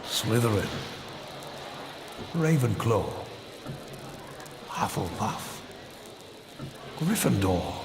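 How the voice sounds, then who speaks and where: A ceremonial announcer at a school of magic. He is extremely slow and solemn, with varied pitch, echoing through a noisy space in game cutscene style. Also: very animated, full character voice. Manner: ceremonial, deliberate. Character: solemn male announcer of school house points. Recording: echoey, distant, clear background noise.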